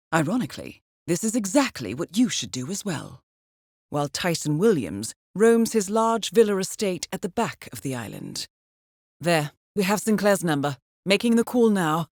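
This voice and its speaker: An actress playing a cool, composed intelligence handler in a video game, briefing an assassin in a measured, dryly ironic way. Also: female voice, mid-range voice, no room sound, studio quality. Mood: dry, wry. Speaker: mission handler.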